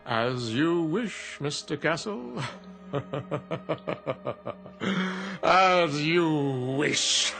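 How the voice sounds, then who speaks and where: An actor playing a sly genie in a radio drama, speaking in a formal, measured and precise way. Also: male voice, on the high side, animated, vocal fry, over music, exaggerated voice. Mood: gleeful. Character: sly, smooth-talking genie granting wishes with relish.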